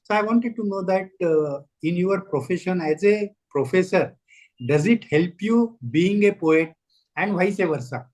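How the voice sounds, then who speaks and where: A man with an Indian English accent hosting an interview, polite and hesitant, often pausing on fillers. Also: high-pitched, darkish tone, rough recording. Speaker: interviewer host. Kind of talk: interview conversation.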